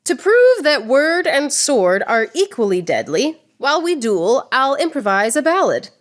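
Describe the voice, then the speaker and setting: A proud, witty swordswoman poet of radio theatre, played by a woman. Her high voice swings widely in pitch and takes its time, savouring each mocking turn of verse with a theatrical swagger. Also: very animated, unhurried. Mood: proud, sardonic. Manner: declamatory, mocking, precise wordplay.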